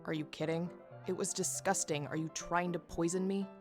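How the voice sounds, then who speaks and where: An actress playing a sardonic, stubborn bounty hunter in a game, brusque and sceptical. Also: female voice, fairly low pitch, steady tone, moderate pace, music in the background, lightly acted. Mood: disgusted, curt. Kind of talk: visual novel game character acting.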